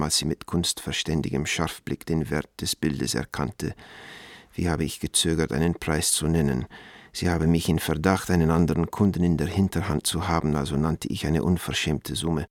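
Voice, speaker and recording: Radio drama: affectionate, self-mocking dealer in his forties, deep, dry-recorded, reflective letter reading. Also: fairly even intonation, moderate pace, no room sound. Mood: gleeful. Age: 40s.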